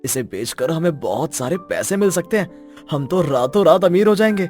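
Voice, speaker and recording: Man acting a high-voiced, greedy village husband. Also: animated, normal speed, darkish tone, soft background music, lightly acted. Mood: coaxing. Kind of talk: Hindi animated story dialogue.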